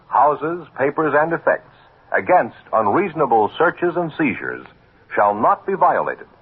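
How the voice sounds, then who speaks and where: A man's voice: medium pitch, slightly rough, phone-quality sound. An American man as a radio announcer, delivering solemn, dramatic 1950s scripted narration with emphasis. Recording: thin, band-limited old radio recording.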